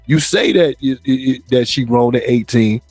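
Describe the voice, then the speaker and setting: A man around forty hosting a casual group chat in animated, slangy talk. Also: medium pitch, normal speed, dry recording, soft background music. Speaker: show host.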